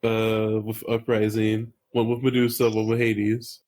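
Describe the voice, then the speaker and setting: A man's voice: on the low side, completely flat delivery, unhurried, a little mellow, slight background noise. A man chatting casually with friends as a panelist on a podcast. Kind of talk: casual chat among friends.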